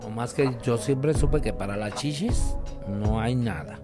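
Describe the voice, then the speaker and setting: A man's voice: medium pitch, moderate pace, music in the background. An older man with a Mexican accent telling personal stories casually, in colloquial, salty language. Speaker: interviewee, hairstylist.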